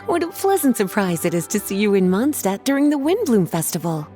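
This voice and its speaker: An elegant, teasing scholarly woman played by an actress in a video game. The delivery is coy and genteel, swinging expressively in pitch, with music behind it. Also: sing-song, brisk, warm tone, natural voice. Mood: teasing, pleased.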